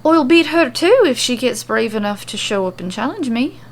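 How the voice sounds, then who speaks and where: Woman putting on a cocky, high-voiced fighting champion. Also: big pitch swings, normal speed, slight background noise. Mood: boastful. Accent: attempted Australian. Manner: boastful, dispassionate. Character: cocky, dismissive, world-weary female redhead fighting champion.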